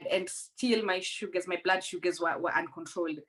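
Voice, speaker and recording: A woman's voice: lowish voice, moderate pace, a bit bright. Young woman guest, earnest and conversational. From Rwanda, raised in Kenya. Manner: earnest, frequent restarts. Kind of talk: conversational interview.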